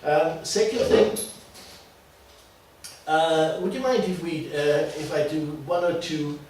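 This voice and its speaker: A man with an Austrian accent and German as his first language, leading an informal workshop as a teacher trainer in a halting, deliberate way with frequent tag questions. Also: on the high side, darkish tone. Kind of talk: informal workshop talk to a small group. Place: classroom. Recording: distant mic, echoey room, faint noise.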